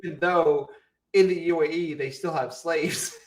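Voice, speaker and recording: Higher-voiced man in excitable podcast banter. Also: moderate pace. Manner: crude, swearing, excitable. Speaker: podcast co-host. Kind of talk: casual comedic banter.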